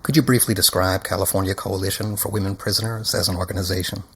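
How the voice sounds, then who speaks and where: Deep husky guy reading formal interview questions, kinda wordy. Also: fairly even intonation, fast talker, a bit bright. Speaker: interviewer, co-producer.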